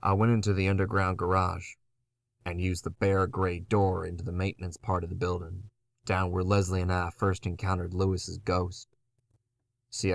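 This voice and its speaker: Low-voiced, gritty man as an undead antihero. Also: no echo. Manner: wry, conversational, gritty storytelling. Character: battered, foul-mouthed undead urban antihero, wry and weary. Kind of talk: first-person horror audio drama narration.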